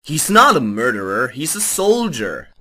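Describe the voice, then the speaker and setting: A performer playing a zealous, self-righteous teenage schoolboy with a husky, strained voice in an amateur comedic reading. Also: mid-range voice, very animated, measured pace, sharp tone, exaggerated voice. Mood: smug, earnest.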